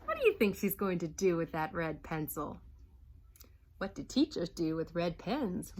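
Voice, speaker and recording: Animated, slow-paced woman teacher reading aloud. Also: on the low side, slightly rough. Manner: animated storybook reading with teacherly questions to the class. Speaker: first grade teacher. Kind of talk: reading a picture book aloud to young children.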